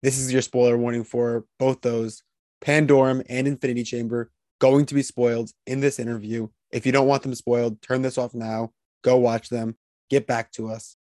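A man's voice: medium pitch, little pitch movement, normal speed, darkish tone, no room sound, studio quality. A man hosting a podcast, introducing guests and interviewing them. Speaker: podcast host.

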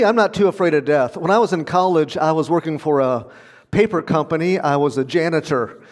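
Man giving a sermon, slightly high voice, conversational and earnest, long hall reverb. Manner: conversational, earnest, with small laughs. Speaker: pastor.

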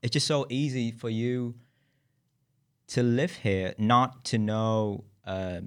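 A man's voice: mid-range voice, steady tone, takes it slowly, a bit bright, clear, resonant tone. A young man hosting a podcast, a non-native English speaker chatting casually, often restarting his sentences and laughing through his stories. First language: not English. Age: young adult. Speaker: podcast host. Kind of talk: casual chat.

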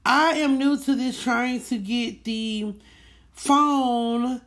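Lady doing her podcast, kinda high voice, super casual, repeats stuff to stress it. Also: steady tone, extremely slow, a bit bright. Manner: informal, emphatic repetition. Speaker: podcast host. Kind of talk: casual chatty monologue.

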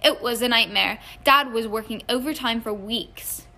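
A woman's voice: a little high, normal speed, sharp tone. A woman voicing a chatty, mischievous teenage boy in an audiobook, eagerly explaining things. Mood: chatty, amused. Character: teenage wizard boy, chatty, mischievous schemer among brothers.

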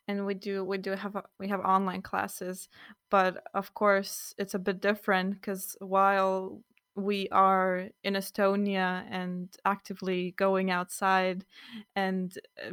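A woman's voice: medium pitch, a bit bright, smooth, dry recording, studio quality. A young woman hosting a casual student podcast, chatting loosely with some fillers. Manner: friendly, loosely improvised with fillers. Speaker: podcast host.

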